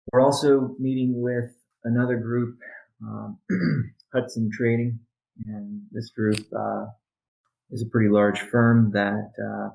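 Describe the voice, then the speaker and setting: A man speaking as a company chief executive on a conference call, in measured, deliberate and halting phrases. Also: on the low side, vocal fry, a little mellow, rough recording. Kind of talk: business update and question-and-answer on a conference call.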